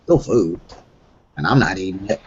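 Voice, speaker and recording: A man's voice: deep voice, lively intonation, normal speed. A man chatting casually as a player in a tabletop role-playing session. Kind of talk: casual game-session chat. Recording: dull, noisy voice chat with clicks.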